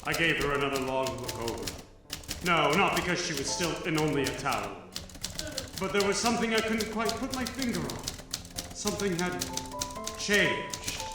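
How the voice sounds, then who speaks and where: A man's voice: a little high, animated, normal speed, reverberant, lightly acted. A man playing a cocky, self-dramatizing private detective in a 1940s noir radio parody, with wisecracking gumshoe slang and theatrical delivery. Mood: brooding narration. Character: rough, cocky hard-boiled private detective, a male, self-dramatizing sleuth. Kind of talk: parody 1940s noir radio acting, alternating narration and dialogue. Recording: live stage radio play with music.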